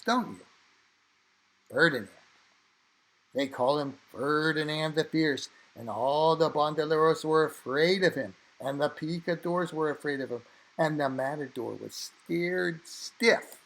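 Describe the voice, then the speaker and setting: Man reading a children's storybook, slightly high-pitched. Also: low-quality recording. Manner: expressive, with dramatic emphasis and drawn-out phrases. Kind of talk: read-aloud storytelling for children.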